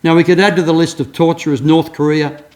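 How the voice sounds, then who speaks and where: A man's voice: a little high, little pitch movement, fast, a little mellow. An older pastor delivers his sermon in a slightly raised voice. He tells stories earnestly and often restarts his sentences along the way. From Australia. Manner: earnest storytelling, many restarts.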